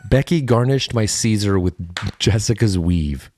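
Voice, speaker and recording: A man's voice: fairly low pitch, expressive, unhurried, a little mellow, dry recording. An American man co-hosting a podcast in casual comedic chat, riffing playfully and repeating phrases for effect. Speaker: podcast co-host.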